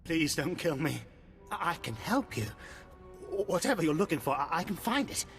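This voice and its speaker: A man's voice: a little high, sing-song, normal speed, fairly bright tone, soft background music. A man voicing a frightened, grovelling captive in a video game, stammering and pleading. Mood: terrified, pleading.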